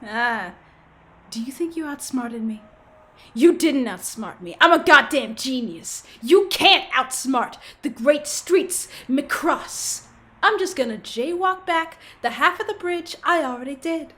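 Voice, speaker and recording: A woman's voice: high voice, animated, slow, a bit bright, full character voice. A woman voicing a cocky, foul-mouthed male villain in a comedic audio play, taunting and boasting in a hammy way. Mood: gloating.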